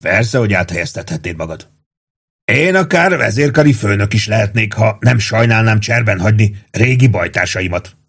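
A man reading an audiobook plays an old army crony, sly and gossipy. The voice is husky, savoring each remark, with a short fuse underneath. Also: fairly low pitch, animated, fairly bright tone, heavily acted. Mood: irritated. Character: old soldier, sly gossiping barracks crony, easily exasperated. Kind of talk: dramatized audiobook dialogue.

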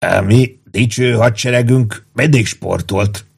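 A man's voice: lowish voice, gravelly, slightly rough. A man voicing an old soldier in an audiobook, a sly barracks gossip who is easily exasperated and savors his remarks. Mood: sly, jovial. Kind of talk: dramatized audiobook dialogue.

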